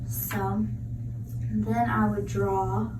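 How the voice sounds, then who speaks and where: A woman's voice: mid-range voice, little pitch movement, extremely slow, background noise. A woman prosthetist explaining a clinical procedure step by step as she demonstrates it. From United States. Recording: echoey room, mic from far off.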